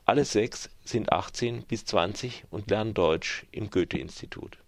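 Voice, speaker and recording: A man giving scripted narration in an even, announcing manner. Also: fairly low pitch, measured pace, gravelly.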